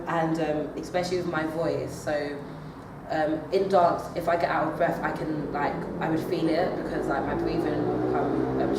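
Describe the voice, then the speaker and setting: Young woman, drama student, low voice, hesitant with fillers, distant noisy echoey recording. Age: young adult. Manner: hesitant, many fillers and restarts. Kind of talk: assessment interview answers. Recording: echoey room, far from the mic, noisy.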